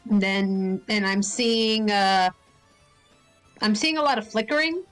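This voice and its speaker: A woman's voice: medium pitch, lively intonation, takes it slowly, fairly bright tone, faint music behind, poor audio. A woman from Ohio with a nasal, stuffed-up voice from a cold, chatting casually on a stream. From Ohio, USA. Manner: chatty, uses lots of fillers. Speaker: stream co-host. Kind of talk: casual chat.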